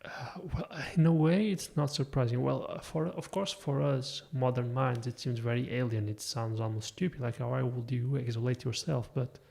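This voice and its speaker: A man's voice: medium pitch, normal speed, gravelly, darkish tone. A man hosting a podcast, musing at length and correcting himself as he goes, in casual interview talk. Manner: musing, rambling, self-correcting. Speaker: podcast host.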